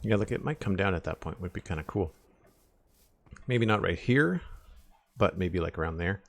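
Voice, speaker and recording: A young man with a deep voice chatting casually on a live stream, thinking aloud as he builds, into a desk mic at home. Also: lively intonation, normal speed, vocal fry, a little hiss or hum. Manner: rambling, thinking aloud, counts blocks under his breath. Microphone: desk microphone with headphones.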